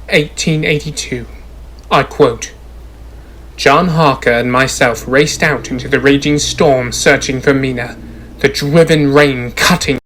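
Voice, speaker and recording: Man playing a mid-pitched formal courtroom lawyer. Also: fairly bright tone, slight background noise, lightly acted. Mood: dramatic. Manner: formal, declamatory. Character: formal courtroom lawyer dramatically presenting evidence. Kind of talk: stage radio drama narration.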